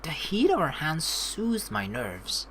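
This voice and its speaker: A woman's voice: low voice, takes it slowly, slight background noise. A woman hosting a let's play, reading game text aloud and adding chatty, informal commentary. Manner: animated, informal, chatty asides.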